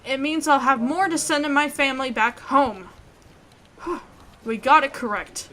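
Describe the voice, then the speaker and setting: A woman hosting a let's play, reading a visual novel aloud in an expressive way. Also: high voice, a little hiss or hum.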